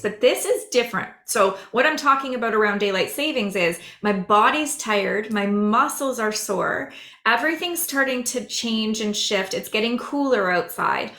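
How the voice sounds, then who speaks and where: A woman psychic medium chatting on a podcast with a hoarse, raspy voice, explaining earnestly at length. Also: a little high, pushed and bright, roomy sound. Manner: rambling, earnest, drawn-out explaining. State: hoarse, losing her voice. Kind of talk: casual podcast chat.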